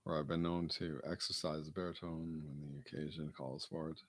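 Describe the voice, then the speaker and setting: A man with a gravelly baritone, rambling through a late-night solo broadcast with mumbled, self-deprecating asides. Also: level tone, a little fast.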